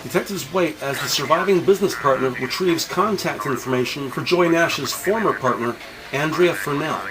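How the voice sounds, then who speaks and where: High-pitched male drama narrator. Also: normal speed, low-quality recording. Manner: descriptive, formal reading. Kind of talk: scripted audio drama narration.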